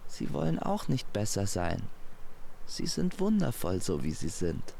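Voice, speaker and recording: A man voicing an elegant, gentle young lady who speaks politely and earnestly. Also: on the low side, wildly swooping pitch, unhurried, gravelly, a little hiss or hum, heavily acted. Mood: reproachful, earnest.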